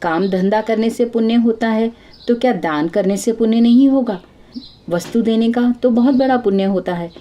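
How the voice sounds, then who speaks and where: A woman storyteller acts out a young daughter-in-law from a moral tale, pious and headstrong. She speaks in a mellow voice, earnestly instructing and gently bossing her elder. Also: fairly high pitch, moderate pace, voice pushed up, lightly acted. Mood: insistent.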